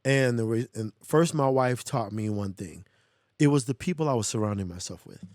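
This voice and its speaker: A man's voice: medium pitch, moderate pace, darkish tone, dry recording, clean studio sound. An American man telling his own story as a podcast guest, casual, candid and self-reflective.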